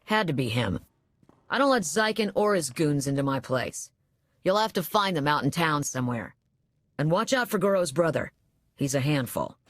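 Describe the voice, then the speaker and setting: A female bar owner in a rough spaceport, weary and wary. Her voice sits low for a woman and is recorded close and dry, with plain-spoken video game delivery. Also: animated, normal speed, no room sound. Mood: frank, wary. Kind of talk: video game dialogue acting.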